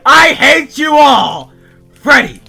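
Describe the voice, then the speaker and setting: A man's voice: extremely high pitch, sing-song, vocal fry, bright, forceful tone, soft background music, pitched above the performer's own voice, full character voice. A man voicing a despairing cartoon ferret who bitterly screams and sobs at his friends, dragging out his words. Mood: furious, despairing. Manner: screaming, sobbing, drawn-out words. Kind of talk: melodramatic reading of a cartoon suicide note.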